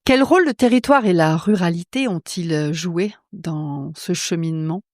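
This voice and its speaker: A woman hosting a podcast, asking questions in a relaxed, chatty way. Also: on the low side, moderate pace, no echo, clean studio sound. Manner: relaxed, chatty, brief interjections. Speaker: podcast host. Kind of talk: casual interview questions.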